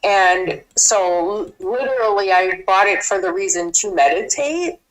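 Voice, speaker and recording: Female caller, medium pitch, slow-paced, conversational, reflective and a little hesitant. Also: expressive, poor audio. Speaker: caller receiving a reading. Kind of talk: casual personal conversation.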